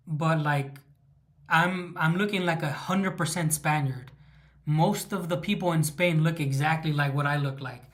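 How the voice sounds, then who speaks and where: A man's voice: on the high side, a bit bright. A man from Southern California with a Mexican American East Los Angeles accent, casually chatting on his livestream, rambling, restarting words and greeting viewers by name. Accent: Mexican American English from East Los Angeles. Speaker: livestream host.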